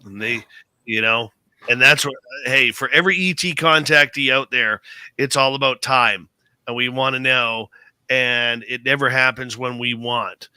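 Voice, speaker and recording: Brief: male talk radio host, sharp forceful tone, dry close sound, keen and emphatic. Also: mid-range voice, fairly even intonation, unhurried, smooth, no echo. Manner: enthusiastic, emphatic. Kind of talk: radio interview.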